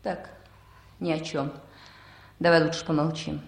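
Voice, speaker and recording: An actress playing an official's fashion-loving wife in a Soviet radio play, heard in a roomy, echoing space. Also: female voice, deep voice, level tone, measured pace, creaky. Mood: guarded.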